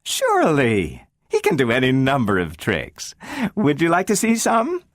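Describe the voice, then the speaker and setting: A man reading aloud and voicing a gentle, anxious mother who coaxes her child slowly. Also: a little high, wildly swooping pitch, no room sound, clean studio sound, pitched above the performer's own voice. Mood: coaxing. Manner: slow and coaxing.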